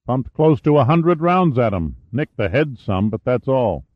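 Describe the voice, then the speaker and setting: A man's voice: mid-range voice, sing-song, measured pace, voice pushed up. A man voicing a friendly, canny small-town veteran with rustic, ungrammatical speech in an audiobook. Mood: amazed. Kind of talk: audiobook character dialogue. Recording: dull, roomy, echoey sound.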